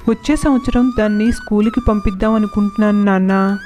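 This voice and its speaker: A dismissive grown son from a moral storybook, voiced by a woman. The delivery is flat and matter-of-fact, with a dark, soft timbre and faint music behind. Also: mid-range voice, brisk, close to an everyday voice. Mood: evasive. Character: dismissive adult son, evasive with his parents. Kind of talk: storybook dialogue.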